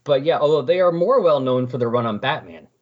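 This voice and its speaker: A middle-aged man with a slightly husky voice hosting a casual comic book discussion in a chatty, explanatory way. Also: mid-range voice, animated, brisk. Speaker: podcast host. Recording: dull lo-fi voice recording.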